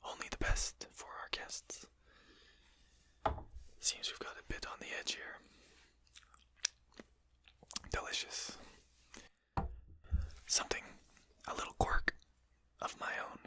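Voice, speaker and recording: Casting: performer playing a genteel vampire host for ASMR roleplay, crisp bright whisper, formal, polite, deliberate. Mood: polite, hospitable. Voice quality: breathy unvoiced whisper. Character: courteous, genteel vampire host lecturing a captured hunter.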